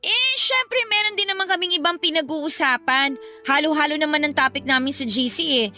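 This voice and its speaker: A Filipino actress playing a whiny, cheeky eighteen-year-old younger sister who makes excuses in a radio drama. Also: female voice, high voice, a little fast, sharp tone, faint music behind. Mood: cheeky, defensive.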